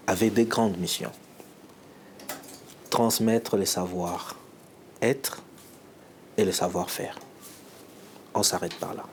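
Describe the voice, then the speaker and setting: Emphatic Congolese man, low voice. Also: darkish tone, a little hiss or hum. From Democratic Republic of the Congo. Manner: emphatic, rhetorical, measured phrasing. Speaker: provincial education official. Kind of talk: field interview statement.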